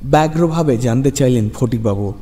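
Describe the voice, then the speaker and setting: Mellow male horror narrator with long reverb. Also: medium pitch, normal speed. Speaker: narrator of a radio story show. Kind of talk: scripted dramatic horror story narration. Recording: long reverb over music. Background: background music.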